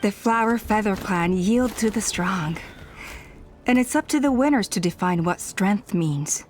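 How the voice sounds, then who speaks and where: A woman playing a proud, solemn clan warrior in a video game, measured in her delivery. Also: mid-range voice, expressive, slight background noise, close to an everyday voice. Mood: solemn, resigned.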